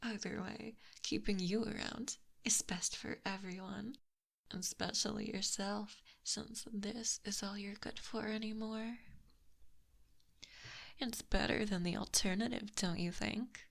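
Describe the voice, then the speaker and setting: A woman voicing a possessive, smugly teasing snake monster in an intimate, breathy ASMR roleplay, close to the microphone. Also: medium pitch, fairly even intonation. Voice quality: breathy, whispery. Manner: slow, smug, softly menacing. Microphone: close binaural microphone.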